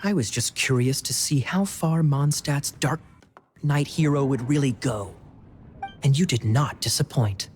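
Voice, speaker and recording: An actor playing a sly, suave young knight in an anime-style game, smooth, amused and mocking. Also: male voice, mid-range voice, a little slow, darkish tone, faint music behind, natural voice. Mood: teasing.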